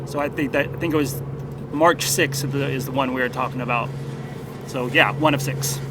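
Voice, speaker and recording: A man moderating a press conference, briskly calling on reporters and answering, with some fillers. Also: medium pitch, fairly even intonation. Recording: outdoor traffic noise.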